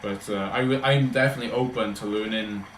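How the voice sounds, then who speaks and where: A man's voice: lowish voice, fairly even intonation, fairly quick, a little hiss or hum. Rambling Welsh man, roomy echo. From Wales. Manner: rambling, chatty, talks in loose run-on thoughts. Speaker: livestreamer answering chat. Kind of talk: casual livestream chat.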